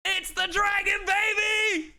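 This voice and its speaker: A man livestreaming casual reaction commentary, slangy, sweary and excitable. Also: very high-pitched, takes it slowly, gravelly, music in the background. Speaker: streamer.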